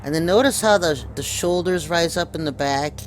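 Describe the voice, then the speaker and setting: Higher-voiced man giving informal video commentary. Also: normal speed, slight background noise, rough recording. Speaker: video host. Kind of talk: informal conspiracy commentary over pictures.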